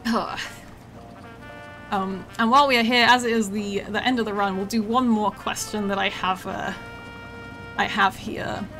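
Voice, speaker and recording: A woman's voice: mid-range voice, steady tone, moderate pace, long pauses, a bit bright, poor audio. A woman who develops games, chatting casually on a live stream with a slightly worn voice and lots of ums. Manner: chatty, with many ums and laughs. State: slightly losing her voice. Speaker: game developer streaming gameplay. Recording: music playing behind.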